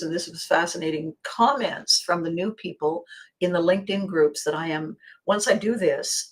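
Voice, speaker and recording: Slightly hoarse, low-pitched woman vlogger. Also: poor audio. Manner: expressive, acting out bits of dialogue. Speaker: vlog host. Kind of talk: casual motivational monologue to viewers.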